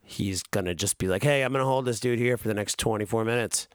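A man's voice: lowish voice, fast talker, no room sound, studio quality. Rambling, profane man on a podcast. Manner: rambling, profane, frequent fillers. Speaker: podcast co-host. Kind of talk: casual podcast commentary.

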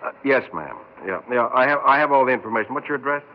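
A man's voice: mid-range voice, expressive, fast, natural voice. An actor playing a harried, sardonic male police lieutenant in a 1960s radio drama, curt and impatient. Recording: muffled 1960s radio recording, faint noise.

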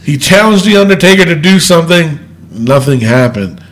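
A man's voice: fairly high pitch, normal speed. A man hosting a casual wrestling chat with friends. He has a stuffy nose, swears and laughs a lot. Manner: animated, laughs often, swears. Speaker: podcast host.

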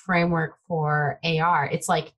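A woman's voice: low voice, level tone, a little slow, fairly bright tone. A woman from the Bay Area, a native Taiwanese speaker, chatting enthusiastically as a podcast guest with lots of "like" and "you know". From United States, Bay Area. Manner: enthusiastic, talks with many fillers like "like" and "you know". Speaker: podcast guest, tech consultant. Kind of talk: casual interview chat.

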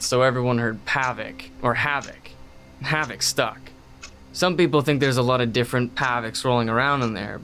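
A man's voice: medium pitch, pushed and bright. A man playing an enthusiastic stoner roommate in a horror audio drama, talking in slangy, laid-back rambles about creepy internet lore.

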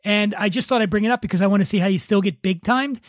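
A man's voice: sits high, fast, no echo, studio quality. A man hosting a podcast in casual comic chat, with a joking, self-deprecating manner. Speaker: podcast host.

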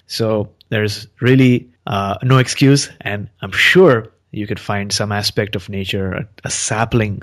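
Earnest motivational podcast host, a man. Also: lowish voice. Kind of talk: podcast hosting and motivational talk.

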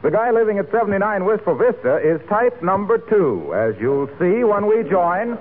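A man with an American accent announcing and pitching a sponsor in polished, genial 1940s radio style. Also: very animated, moderate pace, slightly rough. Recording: tinny old radio recording, background hiss.

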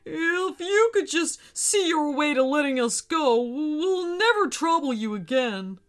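A woman's voice: on the high side, lively intonation, takes it slowly. A woman voicing a nervous, polite whale in a children's story, earnest and pleading. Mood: apologetic.

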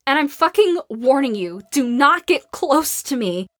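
An actress playing a hardened, grieving and self-blaming survivor in a post-apocalyptic audio drama, raw and emotional. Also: female voice, high-pitched, big pitch swings, unhurried, a bit bright, no echo, studio quality, full character voice. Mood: anguished, bitter. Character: grief-stricken, hardened female survivor, self-blaming and guarded.

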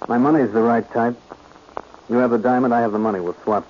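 An actor playing a blunt, pushy buyer in 1940s radio style. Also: male voice, mid-range voice, steady tone, quick pace, natural voice. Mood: blunt, businesslike. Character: blunt, pushy male would-be diamond buyer. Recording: muffled, hissy old radio recording.

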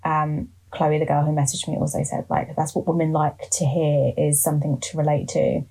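A woman's voice: low voice, level tone, moderate pace, vocal fry, mellow, husky, rough recording. A woman in her twenties from England, co-hosting a podcast and chatting casually with playful jokes. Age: 20s. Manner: joking, playful asides. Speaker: podcast co-host. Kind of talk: casual chat.

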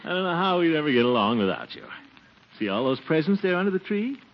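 Pompous, doting middle-aged uncle, very animated delivery, chuckling bluster, on a noisy old 1940s radio recording. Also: male voice, a little high, fast talker, lo-fi sound. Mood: doting, tender. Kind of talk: 1940s radio comedy acting.

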